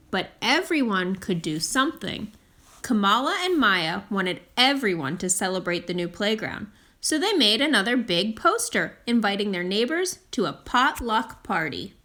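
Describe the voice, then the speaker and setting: A woman reading a children's picture book aloud in an expressive, deliberate storytelling manner with drawn-out emphasis. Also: mid-range voice. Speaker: storytime reader.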